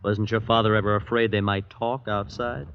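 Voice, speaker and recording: A man's voice: fairly low pitch, fairly even intonation, normal speed, a little hiss or hum, lightly acted. An actor playing an anxious, lovestruck young American man who tells his own adventure in an old radio drama. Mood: earnest, curious. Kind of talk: 1940s-50s American radio drama acting. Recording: muffled old radio recording, music underneath.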